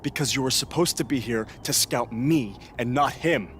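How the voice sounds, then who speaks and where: A man's voice: medium pitch, normal speed, a crowd in the background, natural voice. An actor playing a cocky, resentful young male wrestler in a video game cutscene, boastful and sarcastic. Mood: indignant, bitter.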